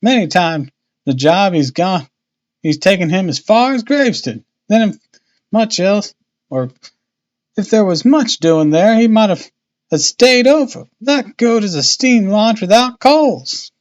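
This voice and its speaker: Storybook boatman's wife male reader acting, talkative and fretful, colloquial, pitched well up and loud. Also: lively intonation, a little slow, muffled recording. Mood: chatty, flustered. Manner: chattering, exclamatory, colloquial. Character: harried, talkative working-class Victorian boatman's wife, anxious.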